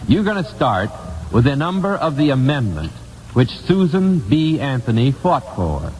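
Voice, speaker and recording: An American man hosting a live 1950s radio quiz, putting questions deliberately and repeating key phrases for emphasis on an old broadcast recording. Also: mid-range voice, very animated, darkish tone. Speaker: quiz show host. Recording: old radio broadcast, hall reverb, hiss.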